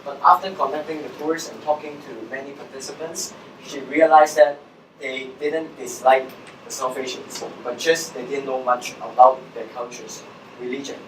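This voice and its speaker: A young man from Hong Kong, speaking with a Hong Kong accent, gives a talk in an explanatory way. His voice sits at a middling pitch. It comes from some distance in an echoey room, with faint noise behind it. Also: steady tone, moderate pace, a bit bright. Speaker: social entrepreneur giving a guest talk. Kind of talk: public presentation to students.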